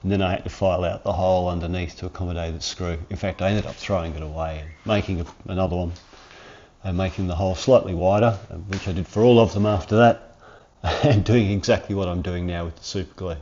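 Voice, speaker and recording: A man in his forties with a cold and sniffles, chatting through a hands-on workshop tutorial in a relaxed, rambling way from his home garage. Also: low-pitched, fast talker, dull audio, slight background noise. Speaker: workshop channel host.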